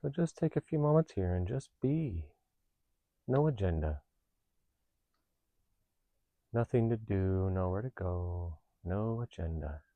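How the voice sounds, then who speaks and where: Low mellow guy doing guided meditation, calm and unhurried, really dry close sound. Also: no echo. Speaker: meditation teacher. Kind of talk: guided meditation, gently instructing.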